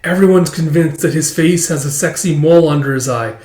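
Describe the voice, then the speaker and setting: A man's voice: on the high side, fairly quick, a little mellow, pitched above the performer's own voice, close to an everyday voice. A man voicing a panicky, self-pitying schoolboy who feels doomed, in a comic story. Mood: panicked despair. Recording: roomy, echoey sound.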